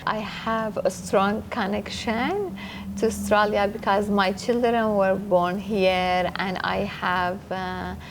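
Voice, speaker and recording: A woman speaks slowly and carefully in a casual interview, pausing to find her words in English. She laughs often as she talks. A faint background noise runs beneath her voice. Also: medium pitch, steady tone. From Iran. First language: Farsi. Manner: halting non-native English, laughs often. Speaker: interview guest, social media influencer.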